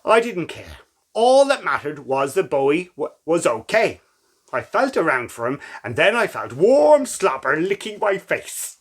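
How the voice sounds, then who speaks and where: A man's voice: fairly high pitch, very animated, unhurried, husky, exaggerated voice. A man playing a brave, affectionate young woman who is blind, in a dramatic, tender storybook reading. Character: brave, affectionate blind girl, college student.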